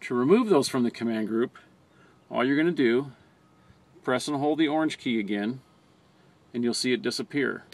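A man's voice: mid-range voice, normal speed, long pauses. An American man narrating a hands-on training video as an instructor, explaining plainly step by step. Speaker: fire department communications trainer. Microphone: handheld camera or phone close by. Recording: noticeable background noise, amateur camera audio.

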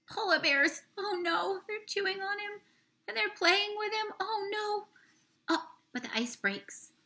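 A woman's voice: high-pitched, creaky, sharp tone. A young woman reading a picture book aloud to children, expressively drawing out words, talking through the pictures and asking her listeners questions. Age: young adult. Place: small room indoors.

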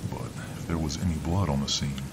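A man narrating a scripted horror story in ASMR style, with an ominous, drawn-out delivery. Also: a bass voice, steady tone, heavy background noise. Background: background music.

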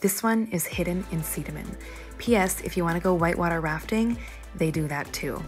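A woman's voice: lowish voice, fairly quick, soft background music. A young woman travel vlogger, chatty and enthusiastic, talking into an action camera held at arm's length outdoors. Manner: chatty and enthusiastic, gushing about gear. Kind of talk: casual vlog narration. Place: outdoors in tropical jungle and at a pool.

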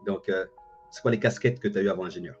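A man's voice: low voice, fast talker, a little mellow. A man in his thirties hosting a casual interview, often repeating his phrases. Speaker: interviewer and host. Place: indoor room with bookshelf, video call. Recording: dull lo-fi online call, music behind. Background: music.

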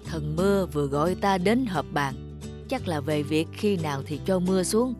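A woman voicing a gentle, wise old male tree spirit in a cartoon, speaking in a slow, measured, instructive way. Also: on the low side, lively intonation, music in the background, close to an everyday voice. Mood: calm, encouraging. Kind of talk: cartoon character acting.

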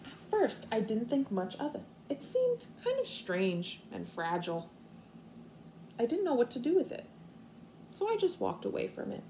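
A young woman, a kindergarten teacher, reading aloud expressively and explaining things simply and deliberately to small children. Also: fairly high pitch, huge pitch swings, warm tone, rough recording. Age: young adult. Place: living room at home, indoors. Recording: faint room noise, home video.

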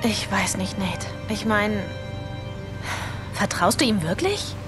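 An actress playing a curious, skeptical young adventurer with a lively, teasing manner in a German video game dub. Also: female voice, medium pitch, slow pace, music in the background.

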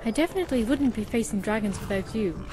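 A woman playing a brisk, dry-witted female warrior companion in a fantasy video game. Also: fairly high pitch, animated, noisy background, natural voice. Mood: dry, ready. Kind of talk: video game dialogue acting.

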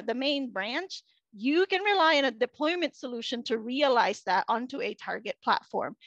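A woman in her thirties speaks in a high voice, giving an earnest, explanatory keynote over slides. She often restarts her phrases. The sound is dull and lo-fi, as from a headset on a video call, with a dry room. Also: a bit bright, no echo. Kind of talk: conference talk over slides.

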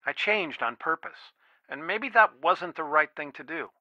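A man's voice: on the high side, expressive, measured pace, no echo, dull audio. A man voicing a stern, worried middle-aged father and lawyer in a video game, earnest, firm and protective. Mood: stern, pleading. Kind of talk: video game voice acting, one side of phone conversations.

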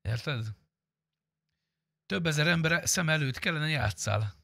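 A man's voice: on the high side, animated, gravelly, sharp tone, no room sound. A man in casual gaming chat, talking bluntly and sarcastically and swearing freely. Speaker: gamer streaming a roleplay game.

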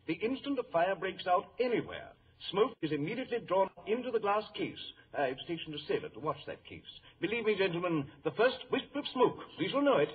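An actor playing a gruff, emphatic, worried ship's captain in an old-time radio drama. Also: male voice, high voice, very animated, a little fast, few pauses, heavily acted. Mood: proud, firm. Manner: emphatic, salty, blustering. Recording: tinny old radio recording, faint noise.

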